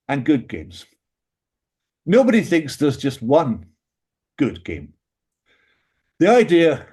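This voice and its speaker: A British man delivering a careful, lecture-style argument in a middle-pitched voice. He stresses key words with sharp upward lifts, then drops low and quiet for wry, dry asides. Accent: British English. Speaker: panelist in an academic book discussion. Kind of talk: prepared philosophy talk.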